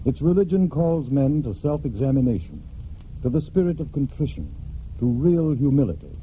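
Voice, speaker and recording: A man narrates a religious message in old-time radio style. He is earnest and measured, with widely moving, middle-pitched intonation. The recording is thin and faintly hissy. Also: phone-quality sound. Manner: earnest, measured oratory with pauses. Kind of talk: scripted 1940s radio church message.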